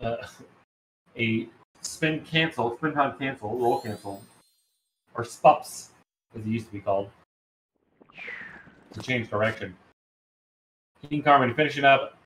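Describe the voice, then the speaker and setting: A man's voice: medium pitch, slow pace, long pauses, roomy sound, a little hiss or hum, rough recording. A man giving casual, bantering play-by-play commentary as a video game speedrun commentator. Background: video game music.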